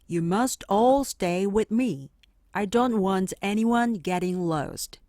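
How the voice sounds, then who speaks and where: A woman's voice: medium pitch, slow pace, warm tone, dry recording, clean studio sound, close to an everyday voice. A woman voicing a stern, busy male schoolteacher in a storybook, firm and instructive as if addressing a class. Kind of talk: storybook character voice.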